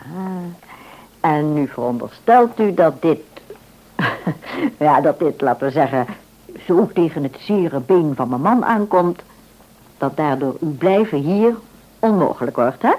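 Tactful boss's wife, radio play: mellow tone, very lively intonation, deliberate, courteous, quietly in control. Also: female voice, sits low, low-quality recording. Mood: gently probing. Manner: polite, deliberate, gently probing. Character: tactful, probing, self-assured wife of a shop owner. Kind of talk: naturalistic radio play acting.

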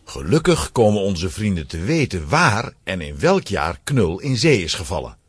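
A man's voice: low-pitched, very animated, moderate pace, husky. A man narrating a scripted children's story with dramatic suspense.

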